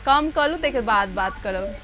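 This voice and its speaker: A woman's voice: on the high side, animated, moderate pace, a bit bright, slight background noise. A woman with a cold hosting a live call-in show, chatting with callers. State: congested from a cold. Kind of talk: live phone-in chat with callers.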